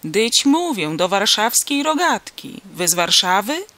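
A storybook reader voicing a gruff, irritable, suspicious peasant man who scolds in rustic, exclamatory speech. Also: medium pitch, sing-song, unhurried, sharp tone, pitched above the performer's own voice, full character voice.

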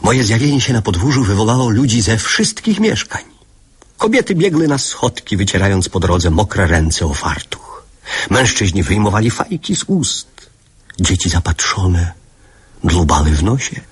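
A man's voice: fairly low pitch, very animated, normal speed, breathy edge, exaggerated voice. A man playing a reflective narrator recalling his boyhood in a lyrical, literary radio drama. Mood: nostalgic.

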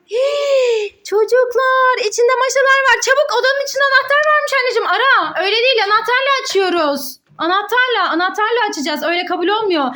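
A woman hosting a children's play challenge as a mother, giving excited, encouraging play-along commentary in a sing-song way with repeated words. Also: very high-pitched.

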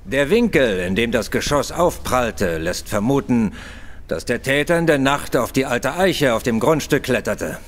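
A man's voice: medium pitch, lively intonation, measured pace, lightly acted. An actor playing a self-assured male police inspector in a German audio drama, officious, curt and grudging. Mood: brusque, self-assured. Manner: officious, brusque.

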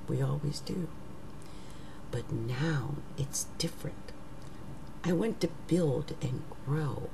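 A middle-aged woman with a rough, raggedy voice, talking casually to her webcam at home as an astrologer, slow and musing, trailing off into whispers. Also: deep voice, background noise. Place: living room at home. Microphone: webcam at desk.

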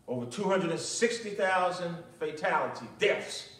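A middle-aged man preaching and praying as a pastor, speaking in measured, deliberate short phrases into a pulpit microphone in a small church. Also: a little high, lively intonation, a bit bright. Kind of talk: sermon with prayer and commentary. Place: small, nearly empty church, at a pulpit indoors. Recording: echoey church, distant microphone.

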